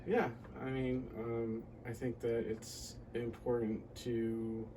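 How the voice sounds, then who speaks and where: A man from the United States answering interview questions casually and reflectively, often pausing mid-sentence to find his words. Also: mid-range voice, little pitch movement, distant mic, noisy background. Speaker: podcast guest.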